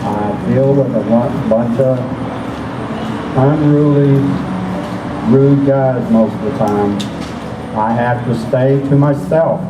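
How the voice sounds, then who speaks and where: An older man telling his own story slowly and plainly at an open mic. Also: mid-range voice, steady tone. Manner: slow, plain-spoken. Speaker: storyslam speaker. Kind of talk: personal story. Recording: echoey room with loud noise.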